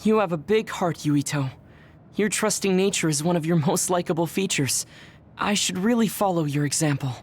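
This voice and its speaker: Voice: medium pitch, normal speed, slight background noise, close to an everyday voice. A performer playing a sincere young platoon member in a video game dub, polite and reflective. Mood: calm, reflective.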